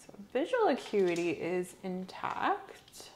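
A young woman playing a calm, methodical medical examiner in a soft-spoken ASMR roleplay, quietly reassuring and procedural. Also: lowish voice, slow pace, background noise. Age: young adult. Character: calm, methodical female eye-exam clinician. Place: small indoor room set up as an exam room.

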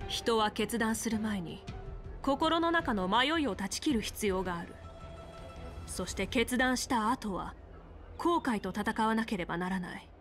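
A woman playing a composed, authoritative general in an anime game, speaking in a measured, decisive way with music behind her. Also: mid-range voice, lightly acted. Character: resolute female general, commanding and composed strategist. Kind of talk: Japanese anime game voice acting.

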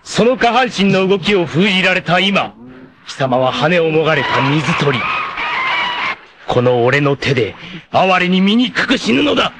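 A man voicing a vain, treacherous martial-arts villain in an anime, with a theatrical, haughty, drawn-out delivery. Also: high-pitched, sing-song, a bit bright, full character voice. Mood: gloating, cruel. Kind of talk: dramatic anime voice acting.

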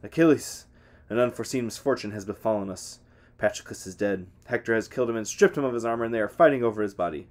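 A man's voice: on the low side, fast, darkish tone, natural voice. A man reading aloud, voicing a young warrior who brings grave news urgently.

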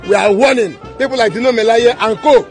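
A man's voice: very high-pitched, a little fast, faint music behind. A Nigerian man speaking as a group spokesman outdoors into press microphones, declaiming and repeating his demands. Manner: declamatory, repetitive. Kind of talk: public statement to press. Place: outdoors among a crowd. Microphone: press microphones held up.

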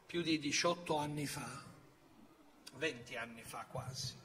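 A man in his fifties preaching a sermon from a church pulpit, swinging from loud exclamations to hushed storytelling. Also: mid-range voice, unhurried, slight background noise. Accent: Italian. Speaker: pastor. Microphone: pulpit microphone on a stand.

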